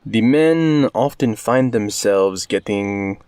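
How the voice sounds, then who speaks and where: A man playing a low-voiced watch captain. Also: expressive, slow, a little mellow. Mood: businesslike. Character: matter-of-fact male leader of town watchmen. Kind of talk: audio drama acting. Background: music.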